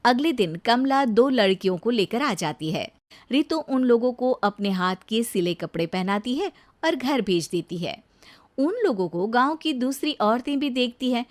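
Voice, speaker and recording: A woman's voice: fairly high pitch, expressive, unhurried. A woman narrating a scripted cartoon story as the storyteller.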